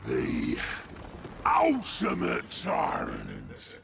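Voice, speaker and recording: An actor playing a huge, gluttonous, boastful ogre king in a video game, booming out pompous battle lines in a gravelly voice. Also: huge pitch swings, background noise, heavily acted. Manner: pompous, bellowing, slow.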